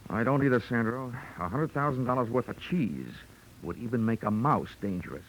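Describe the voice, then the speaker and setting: An actor playing a wry, hard-boiled insurance investigator with an American accent and deadpan wit, in 1940s radio detective drama style. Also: male voice, medium pitch, a little fast, a little hiss or hum, lightly acted, poor audio. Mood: persuasive. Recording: old 1940s radio broadcast recording.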